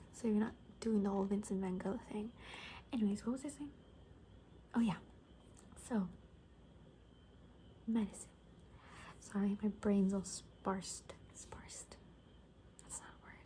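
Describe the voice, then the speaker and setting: A woman playing a gossipy, rambling zombie apocalypse survivor in a soft-spoken, whispery ASMR roleplay, talking hesitantly and conspiratorially. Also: mid-range voice, fairly even intonation, measured pace, pauses often, slight background noise, natural voice. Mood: rambling, distracted.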